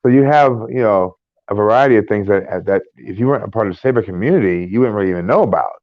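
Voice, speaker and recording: An American man co-hosting a casual podcast, talking in a rambling, halting way with many fillers and restarts. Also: lowish voice, lively intonation, no echo. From United States. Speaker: podcast co-host. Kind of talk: casual chat. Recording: tinny phone-like line.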